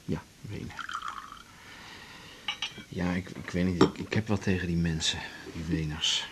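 An actor playing a tender, wistful musician lover, murmuring hesitantly in a naturalistic radio play. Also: male voice, sits low, steady tone, a little slow. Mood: wistful, affectionate. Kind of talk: naturalistic radio drama acting. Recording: faint hiss on 1980s radio recording.

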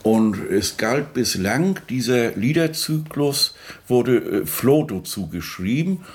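A man's voice: mid-range voice, big pitch swings, slow. A man playing a music expert in a mock radio documentary, explaining research in a hesitant, conversational way. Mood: hesitant, explanatory. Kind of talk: spontaneous-sounding interview commentary in a mock documentary.

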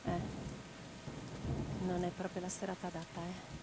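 An actress playing a spirited, passionate young woman revolutionary in a radio play, expressive and theatrical. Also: on the low side, moderate pace, heavy background noise, lightly acted. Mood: affectionate, hesitant. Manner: expressive, theatrical, declamatory when roused.

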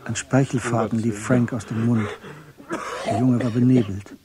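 A man narrating a scripted radio drama in a measured, matter-of-fact way. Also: mid-range voice, fairly even intonation, crowd noise.

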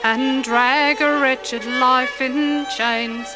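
A woman performing as a sorrowful ballad singer, with a drawn-out lyrical delivery, in an audio drama. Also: high voice, steady tone. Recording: loud fiddle music over the voice.

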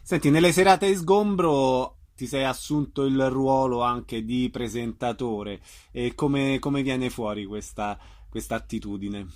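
A man's voice: medium pitch, measured pace, few pauses. A man working as a radio interviewer, putting informal questions to a guest.

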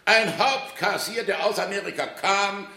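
Absurdist radio comedy: effusive, theatrical bank boss, bright forceful tone, roomy acoustic. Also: male voice, high voice, measured pace, gravelly, full character voice. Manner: gushing, theatrical. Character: effusive, pompous male bank director, self-amused. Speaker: actor in a radio play. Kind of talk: absurdist comic radio play acting, German 1960s.

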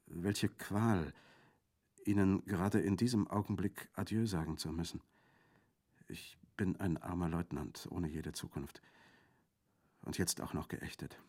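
A man reading aloud, voicing an earnest, lovesick wounded young officer in a soft, hushed way. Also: low voice. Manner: soft, hushed, at times whispering.

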